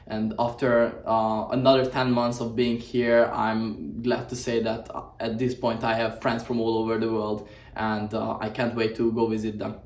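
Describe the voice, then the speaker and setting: A man's voice: fairly low pitch, completely flat delivery, normal speed, darkish tone. A young man from Hungary, a boarding school student, giving earnest interview answers with many "uh" fillers. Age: young adult. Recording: echoey room, mic at a distance.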